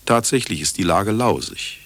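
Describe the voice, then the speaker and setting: A man's voice: on the low side, expressive, moderate pace, a bit bright, dry recording. An actor playing a cynical, vain older retired scientist who narrates his own story in a satirical radio play, sardonic and self-important. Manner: sardonic, self-important, talking to listener and sound engineer. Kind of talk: satirical radio drama monologue.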